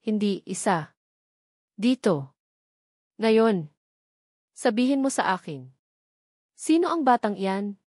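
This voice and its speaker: A woman's voice: mid-range voice, animated, takes it slowly, long pauses, darkish tone, no echo. An angry, hurt man in a romance audio story, voiced by a woman narrator. He is insistent and accusing, demanding answers from a former lover. The sound is dry and close.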